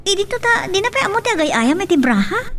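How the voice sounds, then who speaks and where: A woman's voice: very high voice, sing-song, a little fast, a bit bright, recorded from a distance, full character voice. A woman playing a plaintive, reluctant, ailing daughter in an Ilocano audio drama. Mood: concerned.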